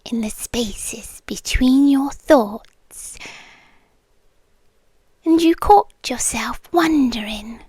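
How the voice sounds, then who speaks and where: An ancient, possessive supernatural girlfriend acted by a woman speaks in a high British voice. She draws her words out very slowly, teasing and taunting in an intimate ASMR style that often slips toward whispering. The sound is close and dry, with a smug menace underneath. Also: dry recording, full character voice. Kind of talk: ASMR roleplay.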